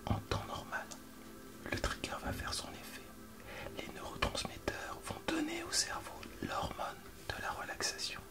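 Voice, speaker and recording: A man playing a fussy, pedantic doctor in an ASMR roleplay, explaining things in a hushed voice. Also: normal speed, loud music behind the voice, lightly acted. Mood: hushed, explanatory.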